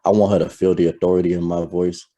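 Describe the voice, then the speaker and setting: A man talking in a reflective, soft-spoken way as a guest in a casual conversation, pausing to search for words. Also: low-pitched, little pitch movement.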